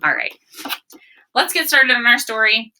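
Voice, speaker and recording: A woman's voice: a little high, unhurried, background noise. A woman teacher giving a read-aloud phonics lesson, speaking directly to children in an instructional way and sounding words out with emphasis. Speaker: elementary school teacher.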